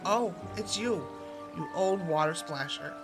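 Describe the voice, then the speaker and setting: A woman's voice: lowish voice, animated, unhurried, music in the background. A woman voicing a spoiled, disdainful young princess in a storybook fairy tale, petulant and dramatic. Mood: upset.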